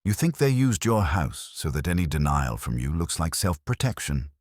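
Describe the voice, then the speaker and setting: A composed woman in a detective audiobook, read by a man, in a very deep register. She is guarded, controlled and direct. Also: sing-song, moderate pace, no room sound, studio quality.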